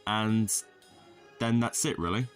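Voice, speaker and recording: A man with a fairly low voice narrates a tutorial as a casual video creator. His humour is deadpan and self-deprecating, with blunt swearing dropped in. Music plays under the voice. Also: slow. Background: background music.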